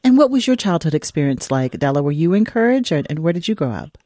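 A woman's voice: deep voice, sing-song, fast, warm tone, no echo, studio quality. A woman hosting a radio call-in show, asking curious questions and bringing in callers. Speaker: radio host. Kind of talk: radio interview.

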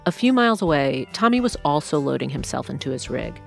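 A woman's voice: sits low, big pitch swings, brisk, gravelly, faint music behind. A woman narrating a true story in a scripted podcast storytelling style.

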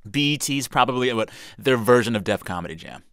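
A man's voice: mid-range voice, lively intonation, brisk, a bit bright, clean tone, no room sound, studio quality. A man hosting a radio interview, chatty and enthusiastic. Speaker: host.

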